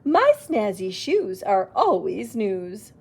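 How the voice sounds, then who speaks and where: Woman putting on a pompous tiny king, swooping pitch. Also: sing-song, slow, darkish tone, breathy edge, heavily acted. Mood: smug. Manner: pompous, exclamatory. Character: tiny, vain, boastful little king.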